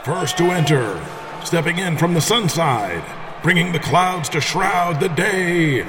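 A man's voice: a little high, expressive, a crowd in the background. A man playing a flamboyant, bombastic ring announcer in an audio drama, drawing out his words like a showman. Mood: bombastic showmanship. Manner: bombastic, drawn-out showman delivery. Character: flamboyant male arena ring announcer at a deathmatch.

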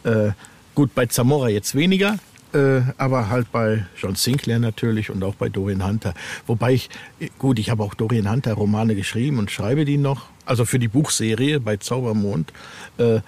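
A man's voice: medium pitch, normal speed, a little mellow. A man with a German accent speaking as an interviewed author in casual, thoughtful, hesitant conversation. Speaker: guest author of horror novel series. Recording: clearly noisy background.

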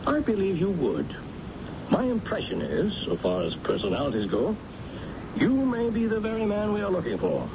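A man playing a polite, appraising lawyer in an old radio drama, speaking in a formal, measured, courteous way. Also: wildly swooping pitch, gravelly, full character voice. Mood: probing, measured. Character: polite, appraising male lawyer at a large firm. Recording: noisy muffled old radio recording, rumble.

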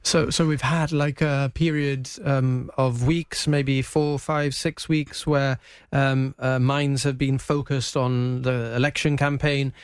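A man's voice: medium pitch, little pitch movement, slow pace, smooth, dry recording. A man hosting a live current affairs interview, feeling his way through questions with frequent rephrasing. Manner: hesitant, rephrasing questions as he goes. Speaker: radio show host and interviewer.

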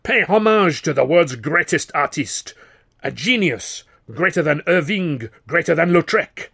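A man's voice: fairly high pitch, lively intonation, unhurried, vocal fry, fairly bright tone, slightly rough, pitched above the performer's own voice, exaggerated voice. A man voicing a flamboyant French stage actor in an audiobook, proclaiming in a theatrical, declamatory way. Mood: rousing, grandiose.

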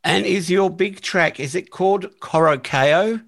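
Slow, hesitant male podcast host. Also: sits high, gravelly, fairly bright tone, no room sound, clean studio sound. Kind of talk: casual interview.

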